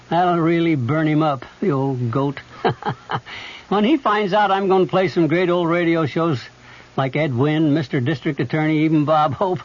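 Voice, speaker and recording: An actor playing a boastful, gleeful comedy character in an old-time radio promo, with folksy, slangy talk. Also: male voice, fairly high pitch, close to an everyday voice. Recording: muffled old radio broadcast.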